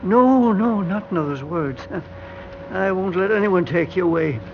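A kindly, stubbornly hopeful elevator man in his seventies. His voice is gravelly and a little high, with a folksy, halting tenderness. It is a vintage radio play with a muffled sound. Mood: fond, wistful. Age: older. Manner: folksy, chuckling, hesitant, talks tenderly. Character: kindly 73-year-old elevator operator, sentimental and stubbornly hopeful. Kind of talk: old-time radio drama acting. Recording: dull, hissy vintage radio recording.

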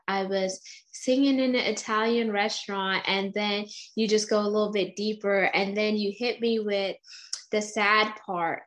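A woman's voice: mid-range voice, fairly bright tone. A woman host speaks in a mid-range voice with motivational fervor. She builds her points in rhythmic, preachy runs of phrases. She sounds enthusiastic throughout. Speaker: interview host. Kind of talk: motivational interview talk.

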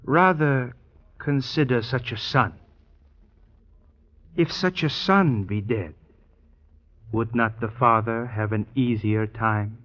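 A man's voice: mid-range voice, takes it slowly, pauses often, a bit bright. A man playing a wily, mercenary fakir who speaks like an oracle in an old-time radio drama. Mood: wry, shrewd. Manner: ponderous, oracular archaic speech. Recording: very muffled old radio recording.

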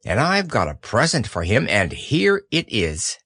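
A man's voice: mid-range voice, wildly swooping pitch, a little slow, bright, forceful tone, breathy edge, no echo, clean studio sound, exaggerated voice. A man reading a storybook aloud, voicing an earnest young boy making a little speech.

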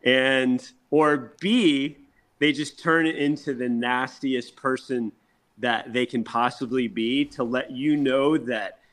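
A man's voice: medium pitch, steady tone, takes it slowly, fairly bright tone. A man talking casually in an interview as a rock band member, telling things in an emphatic, boastful, salesmanlike way.

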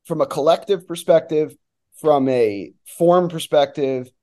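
Mellow-voiced man chatting about sports. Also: fairly high pitch, unhurried. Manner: conversational, opinionated, informal. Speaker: guest, soccer play-by-play announcer. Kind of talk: casual sports analysis chat.

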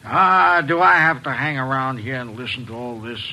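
A man's voice: mid-range voice, steady tone, normal speed, creaky, sharp tone, poor audio. A man playing a genteel millionaire uncle, a former actor, in a theatrical, courtly manner for a radio drama. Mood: genteel, self-pleased. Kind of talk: 1940s-50s radio acting.

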